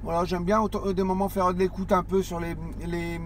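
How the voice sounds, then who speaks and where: A man's voice: high voice, level tone, brisk, slight background noise. A man chatting on CB radio from a parked car, hesitant, with many restarts and fillers. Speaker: CB radio operator. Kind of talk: casual radio chat.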